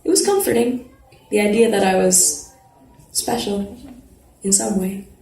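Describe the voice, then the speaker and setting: A woman giving a dramatic live reading of a first-person novel, conversational and expressive, like a playful teenage narrator. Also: medium pitch, unhurried. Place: live literary event at an arts festival. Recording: roomy live venue, background noise.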